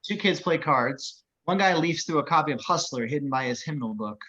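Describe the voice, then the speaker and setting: Male narrator, fairly high voice, slightly lo-fi audio, reading screenplay stage directions. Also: expressive, fairly quick, pushed and bright.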